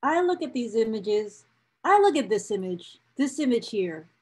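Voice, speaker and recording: A woman's voice: a little high, big pitch swings, normal speed, a little mellow. A woman reading a book excerpt aloud as a presenter, with casual asides to viewers, speaking enthusiastically and repeating phrases for emphasis. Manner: enthusiastic, emotionally invested.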